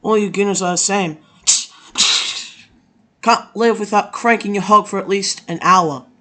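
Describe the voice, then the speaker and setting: A man performing a crude, profane, improvised comic anime fandub with halting delivery. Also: sits high, steady tone, sharp tone. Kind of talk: comedic improvised anime parody dub.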